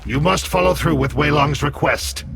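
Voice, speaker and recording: An actor playing an obsessed, menacing middle-aged tech mastermind in a video game cutscene, theatrical and emphatic. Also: male voice, a little high, moderate pace, gravelly. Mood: urging. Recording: music under the voice. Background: music.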